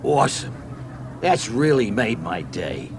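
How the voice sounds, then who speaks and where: A man's voice: mid-range voice, very animated, a little slow, background noise. A man voicing a hearty, easygoing American husband on holiday, a folksy and enthusiastic storybook character. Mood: hearty, pleased.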